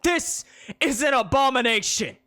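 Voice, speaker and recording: A narrator voicing a self-righteous blond man who preaches loudly against magic in slow, declaiming speech. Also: sits high, expressive, voice pushed up, full character voice. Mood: outraged.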